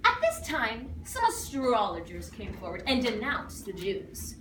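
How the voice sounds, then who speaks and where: A woman recites scripture theatrically, with a fairly high voice and very lively intonation. She stresses phrases dramatically, and is recorded from a distance with clear background noise. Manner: theatrical, emphatic, dramatic swells and pauses. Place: indoor performance room, recorded from afar. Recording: distant mic in noisy, echoey room.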